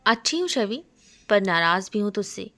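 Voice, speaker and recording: A woman's voice: mid-range voice, very animated, normal speed, a bit bright. A woman voicing a chatty young best friend who scolds playfully and pries in a romance story. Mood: mock annoyed.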